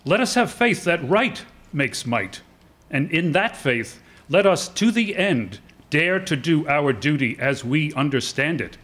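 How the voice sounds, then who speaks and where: Husky statesman orator, acted by an older man. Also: a little high, lively intonation, unhurried, fairly bright tone. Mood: earnest, resolute. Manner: rhetorical, oratorical. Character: male statesman delivering a principled, resolute political oration. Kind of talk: staged radio-play reading of a speech. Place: indoor hall with seated audience. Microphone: lectern microphone.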